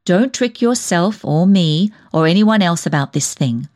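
Severe older woman, blunt, solemn scold with a soft heart, for storybook reading, played by a female narrator. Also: on the low side, lively intonation, measured pace. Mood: stern, severe. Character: stern, severe older female cousin, indignant, soft underneath.

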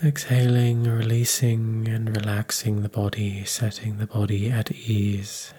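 A man leading a guided meditation in a calm, unhurried, soothing manner. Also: lowish voice, slightly rough.

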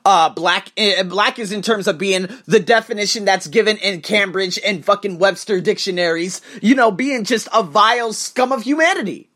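A man hosting a podcast, delivering an emphatic, profane monologue to camera with sudden rises in pitch and frequent restarts. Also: normal speed, few pauses, pushed and bright. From United States. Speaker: podcast host.

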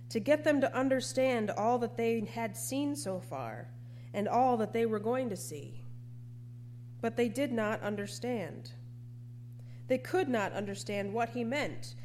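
A woman preacher delivering a scripted sermon. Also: on the high side. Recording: noisy recording with hum.

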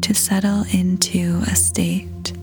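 A woman narrating a scripted guided meditation in a soft, breathy, whispery voice, calm and gentle with long pauses. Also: fairly low pitch. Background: meditation music.

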